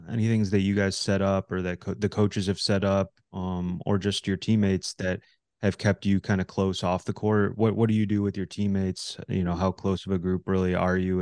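A male podcast co-host asking interview questions in a loose, halting way, often repeating his words as he goes. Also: low voice, darkish tone, clear, resonant tone, dry recording, studio quality.

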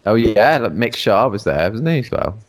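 A middle-aged man with a lowish voice hosts a relaxed conversation. His pitch swings widely as he exclaims and reacts. He often restarts his sentences mid-thought. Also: moderate pace. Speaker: podcast host. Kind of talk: casual chat.

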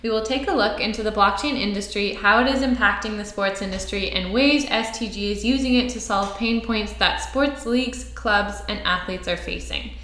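A woman's voice: mid-range voice, moderate pace, few pauses, fairly bright tone. A woman hosting a podcast, introducing her guest and asking him questions in an upbeat way. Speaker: podcast host. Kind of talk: interview hosting. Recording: echoey room.

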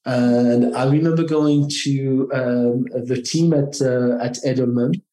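A middle-aged man from France with a French accent, a marketing guest answering interview questions in a measured, halting way with frequent restarts. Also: medium pitch, steady tone, warm tone. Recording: roomy echo.

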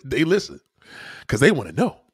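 A man's voice: medium pitch, wildly swooping pitch, moderate pace, breathy edge, no echo, clean studio sound. A man giving a blunt, profane opinion monologue in a casual, lecturing way, repeating points for emphasis. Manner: emphatic, repeats himself for stress.

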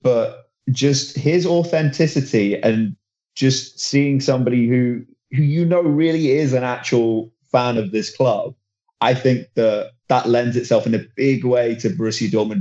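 Mężczyzna, entuzjastyczny reporter piłkarski, lekko stłumione nagranie. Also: male voice, mid-range voice, fairly even intonation, normal speed, a little mellow, lo-fi sound. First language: not German; German is his second language. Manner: enthusiastic, rambling with restarts. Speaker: football reporter. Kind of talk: casual podcast chat.

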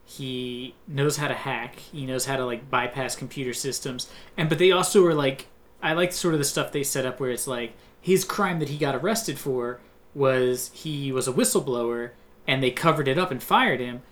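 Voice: mid-range voice, moderate pace. A podcast co-host chatting casually about a film, telling the story of a first viewing and acting out past thoughts along the way. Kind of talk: casual movie review chat.

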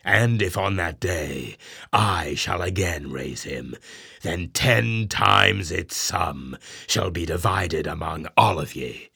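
A man voicing a grim, imperious old sea captain who swings from booming orders to hushed brooding. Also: slow, vocal fry, husky, dry recording, clean studio sound, heavily acted. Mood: solemn. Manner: stern, booming commands then hushed brooding.

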